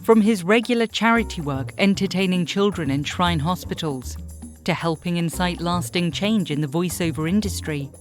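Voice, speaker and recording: A woman with a fairly low voice narrates a scripted documentary. She speaks as the host of the programme, with faint music behind her. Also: normal speed.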